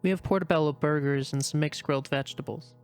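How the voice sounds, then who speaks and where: A man voicing a shy, earnest young female rabbit in an amateur dramatic reading, speaking softly and from the heart. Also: fairly high pitch, quick pace, smooth, faint music behind, close to an everyday voice. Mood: warm. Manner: soft, heartfelt, anxious inner murmuring. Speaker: voice actor in a fan reading.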